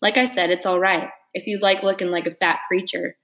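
A mocking, dismissive teenage boy from a storybook who shrugs things off with a sneer, read by a woman in a low voice. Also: fairly even intonation, brisk, a bit bright. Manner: sneering, offhand.

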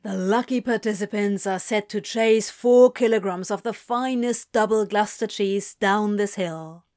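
A woman voicing a grumpy, irritated man who sounds flat and weary. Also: mid-range voice, unhurried, lightly acted.

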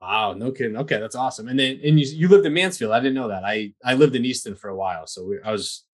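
A man's voice: mid-range voice, quick pace. A man hosting a local business podcast, chatting in a friendly, easygoing way with plenty of fillers. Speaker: podcast host. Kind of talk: casual interview.

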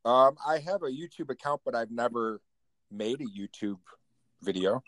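A man's voice: medium pitch, expressive, moderate pace, a bit bright, dry recording. A man appearing as a guest on a podcast, chatting in an easygoing, agreeable way. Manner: easygoing, agreeable, laughs along. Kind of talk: casual podcast chat.

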